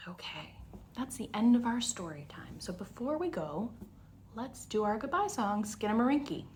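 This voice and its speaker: A woman's voice: a little high, lively intonation, moderate pace, darkish tone, a little hiss or hum. A woman hosting a story time for young children, explaining things in simple, instructional phrases.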